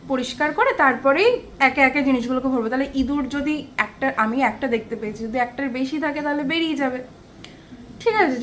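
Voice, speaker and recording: A woman with a West Bengali accent telling everyday stories casually to viewers in a chatty home vlog. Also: high-pitched. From West Bengal, India. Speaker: vlogger. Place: small one-room home. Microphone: handheld camera at arm's length. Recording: faint background noise.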